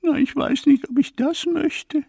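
High, slow, soft-toned timid little ghost. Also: fairly even intonation, vocal fry, no room sound, clean studio sound. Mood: anxious. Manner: fussy, reluctant, dramatic complaining. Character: timid, vain, mildly spooky young ghost. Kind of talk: children's audio play acting.

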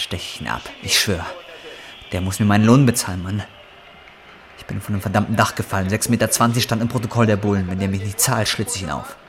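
A man's voice: on the low side, close to an everyday voice. An actor in his twenties playing a bitter, down-and-out young man in a German radio play, coarse, slangy and curt. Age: 20s.

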